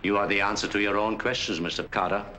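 An actor playing an elderly clinic doctor in a 1940s film, giving measured, emphatic advice. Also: male voice, on the low side, fairly even intonation, bright, forceful tone. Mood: grave. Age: older. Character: elderly wise clinic doctor, kindly, lecturing. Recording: faint hiss on old film soundtrack.

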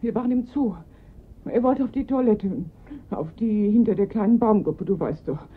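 An actress playing a frantic, pleading mother of a kidnapped boy in a German radio crime drama, breathy, urgent and halting. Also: mid-range voice, phone-quality sound, close to an everyday voice. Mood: shaken, halting.

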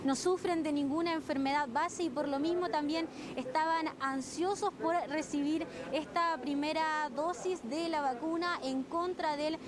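High-voiced Chilean woman reporting live. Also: normal speed, few pauses, crowd noise. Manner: steady reporting with hesitant fillers. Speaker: field reporter.

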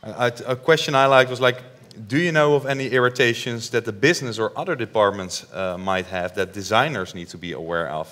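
A man's voice: medium pitch, fairly quick, hardly any pauses, fairly bright tone. A man interviewing a speaker on a conference stage, asking questions with stammering restarts. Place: large dark conference stage.

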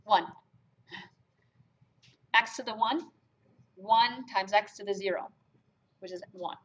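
A woman's voice: mid-range voice, slow. A woman from Germany teaching a math class, talking emphatically and asking the students rhetorical questions. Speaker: math lecturer. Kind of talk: classroom lecture. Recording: lo-fi lecture capture.

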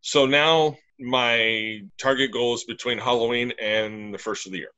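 A middle-aged man speaks over a webcam call from a small room, sounding bright and upbeat. He talks plainly and in detail as an interview guest. His delivery is easygoing and conversational. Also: mid-range voice, fairly even intonation, a little slow, smooth, dull audio. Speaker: brewery owner, guest. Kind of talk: casual video-call interview. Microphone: webcam on a video call.

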